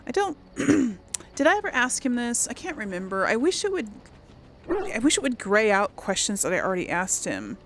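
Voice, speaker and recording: A woman hosting a casual role-play gaming video, musing aloud haltingly and often clearing her throat. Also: fairly high pitch, huge pitch swings, a bit bright, slight background noise.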